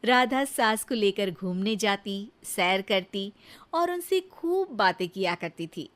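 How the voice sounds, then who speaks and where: A woman's voice: medium pitch, expressive, slow, fairly bright tone. A woman narrating a scripted moral story as a storyteller.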